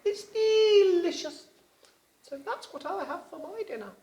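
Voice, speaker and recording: A peaceful, kindly zebra, played by a woman reading a story, soft-spoken and high-pitched. The voice rings in an echoey room. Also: animated, a little slow. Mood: gentle friendly. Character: gentle, peaceful female zebra.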